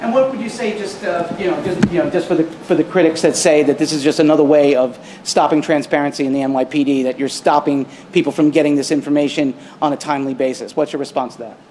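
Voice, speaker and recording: Male reporter, higher register, informal press-conference questioner, slight background noise. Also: fairly even intonation, fast, few pauses, a little mellow, rough recording.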